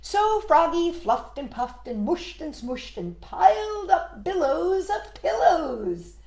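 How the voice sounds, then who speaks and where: Animated woman reading to children, echoey room. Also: extremely high pitch, takes it slowly. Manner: expressive, addressing young listeners with questions. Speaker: storytime host. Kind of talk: animated children's picture-book read-aloud with asides.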